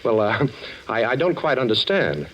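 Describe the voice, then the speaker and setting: An actor playing a suave, sarcastic ex-thief turned detective in a 1940s radio drama, smooth-talking and unflappable. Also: male voice, mid-range voice, big pitch swings, moderate pace, fairly bright tone. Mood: courteous, charming. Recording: muffled, hissy old 1940s radio recording.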